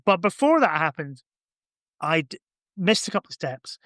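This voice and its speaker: Guy with a lightish high voice, rambly casual podcast chat, really dry sound. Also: very animated, moderate pace, a bit bright, no echo. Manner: rambling, thinking aloud. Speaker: podcast guest or co-host.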